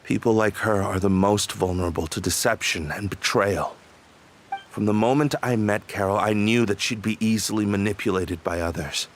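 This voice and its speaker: Low-voiced, stern patrol captain, played by a man. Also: normal speed, a little hiss or hum, natural voice. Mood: heavy regret. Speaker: voice actor in a video game. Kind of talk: dramatic game dialogue. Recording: music behind the voice.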